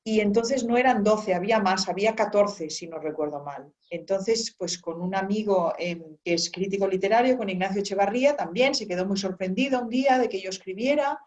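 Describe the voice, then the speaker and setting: A woman guest in an interview, telling a long story in a relaxed, rambling way with many restarts. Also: medium pitch, fairly quick, few pauses. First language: Spanish. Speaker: author and guest. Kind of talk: conversational interview. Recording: dull lo-fi sound.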